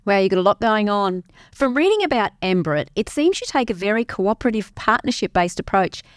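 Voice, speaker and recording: A woman's voice: mid-range voice, big pitch swings, fairly quick, dry recording, studio quality. A woman presenting community radio, reading prepared interview questions in a friendly manner. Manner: friendly, clearly scripted questions.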